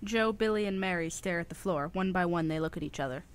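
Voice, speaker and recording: A woman's voice: on the low side, fast, lightly acted. A woman narrating a radio play, reading scripted stage directions in a plain, matter-of-fact way. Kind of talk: scripted present-tense narration.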